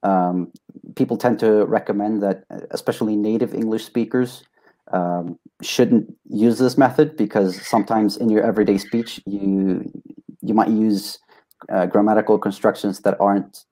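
A young man from Sweden, a native Swedish speaker, giving casual, halting, modest answers as an interview guest. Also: lowish voice, fairly even intonation, dark tone. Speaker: interview guest, test taker.

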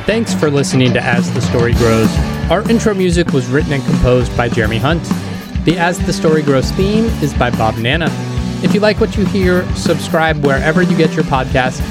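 A man's voice: on the high side, lively intonation, a little fast, loud music behind the voice. A man speaking as a podcast host, with interview questions and scripted announcements.

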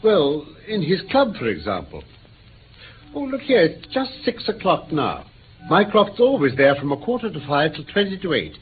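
A man with an English accent playing a precise, self-assured gentleman detective in a radio play, dry and immodest in manner. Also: on the high side, huge pitch swings, normal speed, faint music behind. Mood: genial, brisk. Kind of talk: mid-century British radio play acting. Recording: narrow-band old radio recording, faint hiss.

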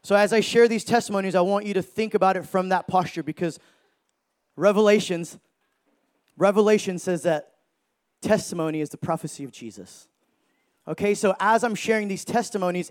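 A man in his thirties preaching a sermon with energy, joking with the crowd and shouting for emphasis. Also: high-pitched, fairly quick. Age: 30s.

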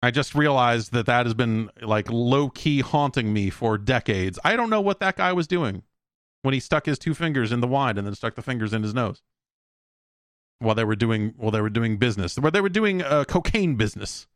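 A man's voice: mid-range voice, expressive, brisk, fairly bright tone, no echo. A man in his late forties hosting a podcast alone, rambling casually with frequent swearing and self-deprecating comic asides, close on the microphone. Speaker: podcast host.

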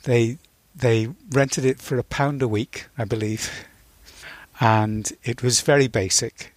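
A man in his sixties from Northern Ireland answers as an interview guest. His voice sits at an average pitch and is recorded very dry. He speaks reflectively, often stopping to find the right word. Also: steady tone, slow, no echo.

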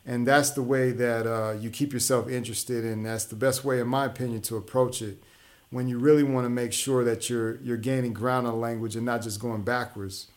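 A man's voice: mid-range voice, steady tone, moderate pace, darkish tone. A man hosting his own show, talking through ideas in a casual monologue with frequent restarts and fillers. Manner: conversational, explanatory, many restarts and fillers. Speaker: show host.

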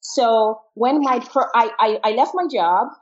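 A woman's voice: a little high, measured pace, a little mellow. A middle-aged woman from Jamaica and the wider Caribbean, telling her story casually and expressively as an interview guest. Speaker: interview guest, marketing consultant. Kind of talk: animated casual personal storytelling. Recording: dull, band-limited lo-fi sound.

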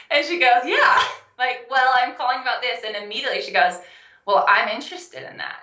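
A young adult woman, a health coach and guest, telling her story in a casual interview, animated and laughing often. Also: fairly high pitch, normal speed, reverberant, lo-fi sound. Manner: animated, laughs often, acts out quoted phone calls.

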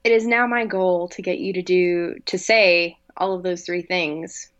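A woman's voice: lowish voice, steady tone, unhurried, highs cut off. Dry-witted woman co-hosting a podcast. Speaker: podcast co-host. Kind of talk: casual podcast chat.

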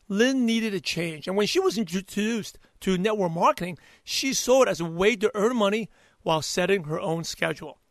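Hoarse, fired-up male podcast host. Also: high-pitched, moderate pace, no echo, clean studio sound. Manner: energetic, hyped-up delivery. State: hoarse, partly lost voice. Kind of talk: upbeat podcast interview.

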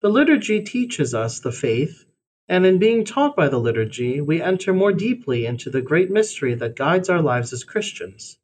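Man reading aloud, higher-pitched and expressive. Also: normal speed. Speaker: reader of a religious blog essay. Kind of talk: scripted read-aloud narration. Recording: faint background noise with low rumble.

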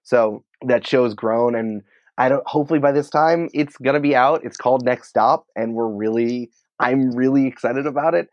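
A man talking casually as an interview guest, in an excitable, rambling, informal way peppered with filler words. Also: medium pitch, normal speed, no room sound, muffled recording. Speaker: podcast writer and producer, interview guest.

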